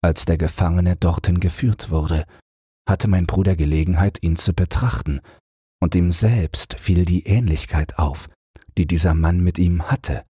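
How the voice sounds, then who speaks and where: Male fairy-tale narrator for bedtime audiobooks: deep register, slightly rough grain, scripted storytelling. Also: unhurried, warm tone, dry recording, clean studio sound.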